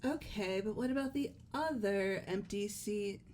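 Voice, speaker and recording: A woman's voice: mid-range voice, measured pace, a little mellow, a little hiss or hum, lightly acted. A woman voicing a matter-of-fact, reasoning student in an amateur video game dub.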